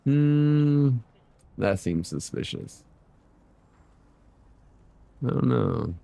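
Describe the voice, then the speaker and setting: A man's voice: medium pitch, very animated, extremely slow, creaky, warm tone, no echo. A man giving casual gameplay commentary as a streamer, muttering in loose slang with plenty of swearing. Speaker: game streamer.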